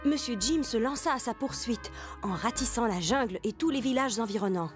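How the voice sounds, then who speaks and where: Woman as higher-pitched storybook cartoon narrator. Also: lively intonation, fairly quick, natural voice. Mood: earnest storytelling. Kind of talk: scripted narration. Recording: loud music behind the voice.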